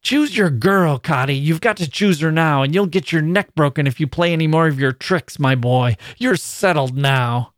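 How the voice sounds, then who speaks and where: Fierce, bitter young woman in a short-story reading voiced by a man; raised pitch, close dry sound. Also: normal speed, hardly any pauses, no room sound, close to an everyday voice. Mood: menacing, insistent. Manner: sharp, insistent demands and outcries.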